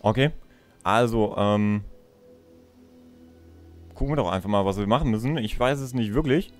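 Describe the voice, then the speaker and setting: A man's voice: fairly low pitch, moderate pace, soft background music. A young man giving casual let's play commentary, chatting and thinking aloud as he goes. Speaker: let's player.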